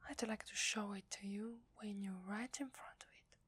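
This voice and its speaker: A woman with an Italian accent playing a tender, secretive werewolf wife in an ASMR roleplay, in a soft, hesitant whisper. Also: mid-range voice, fairly even intonation, moderate pace, breathy edge. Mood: tender, excited.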